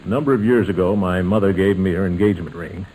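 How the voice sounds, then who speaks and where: An American actor playing a sentimental middle-aged uncle in an old-time radio sitcom, speaking haltingly and deliberately. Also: male voice, low-pitched, a crowd in the background, natural voice. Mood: warmly ceremonious. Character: sentimental middle-aged uncle and family patriarch, hesitant, tender. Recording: noisy vintage radio recording.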